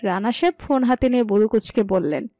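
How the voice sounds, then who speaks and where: A woman's voice: fairly high pitch, fast talker, mellow, no echo, faint music behind. A woman reading a scripted story as its narrator.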